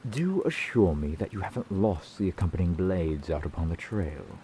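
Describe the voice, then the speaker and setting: A man playing a gruff, grumbling but reluctantly kind fantasy town blacksmith in a slow, dry ASMR roleplay. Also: deep voice, a little hiss or hum. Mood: dry, reproachful. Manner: curt, grumbling, dry, wordy sarcasm. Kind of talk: ASMR roleplay, slow scripted character acting. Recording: steady rain sound behind the voice. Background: rain falling.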